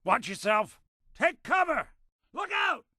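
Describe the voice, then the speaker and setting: An actor playing a weary, wry robot detective with a conscience in a video game, speaking in a hardboiled 1940s American style with dry, streetwise delivery. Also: male voice, lowish voice, sing-song, unhurried, no echo. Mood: alert.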